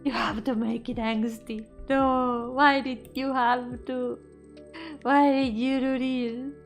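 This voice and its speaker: High-voiced woman streaming. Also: level tone, slow. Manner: playful, switches between English and Spanish. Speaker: VTuber streamer. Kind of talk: casual livestream chat with viewers. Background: music.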